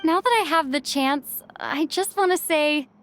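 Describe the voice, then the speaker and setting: An actress playing a gentle, sincere young woman in a video game, earnest and soft-hearted. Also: high-pitched, a little slow, soft background music. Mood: concerned, grateful.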